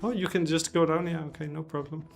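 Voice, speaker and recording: A man's voice: fairly high pitch, fairly even intonation, quick pace, faint music behind. A man hosting, casually interviewing a guest and asking easygoing questions while they play a game together. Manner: easygoing, laughs along, asks questions. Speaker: host.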